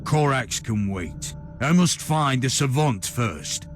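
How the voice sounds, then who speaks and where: A man's voice: medium pitch, expressive, music in the background. An actor playing a commanding male Inquisitor in a video game, stern and dryly sardonic, with an authoritative delivery. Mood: dry, dismissive. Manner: authoritative, dry, deliberate. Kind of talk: video game cutscene acting.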